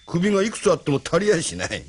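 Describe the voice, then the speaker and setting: A man acting a genial, teasing older gentleman with a courtly, knowing manner in a Japanese audio drama. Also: sits high, big pitch swings, fast talker, a little hiss or hum. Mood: wry, genial.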